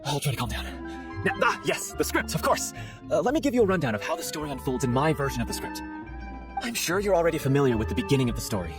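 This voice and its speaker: Quick-talking, earnest male scriptwriter. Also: on the high side, very animated, over music. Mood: starstruck, flustered. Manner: wordy, earnest storyteller. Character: excitable, earnest male theatre scriptwriter, a nervous fan. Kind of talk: video game voice acting.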